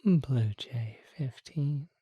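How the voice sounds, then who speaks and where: An ASMR creator speaking to listeners in a very soft, breathy whisper. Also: medium pitch, drawn-out words, no room sound.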